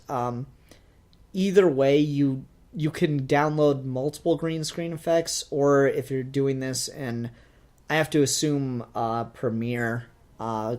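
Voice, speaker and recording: Man in a halting, casual review chat. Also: medium pitch, a little mellow. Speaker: podcast co-host reviewing a fan film.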